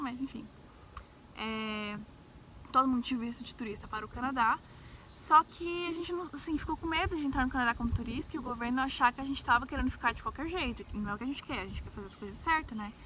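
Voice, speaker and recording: Young Brazilian woman, bright higher-pitched voice. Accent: Brazilian, central-west. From Brazil, grew up in Brasília. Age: young adult. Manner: informal, peppers speech with tags seeking agreement. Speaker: travel vlogger. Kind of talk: casual, chatty personal storytelling. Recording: faint background noise.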